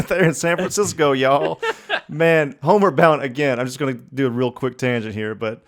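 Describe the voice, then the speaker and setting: A man's voice: mid-range voice, moderate pace. A man co-hosting a podcast, chatting casually in an informal, slangy way. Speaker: podcast co-host. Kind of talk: casual chat.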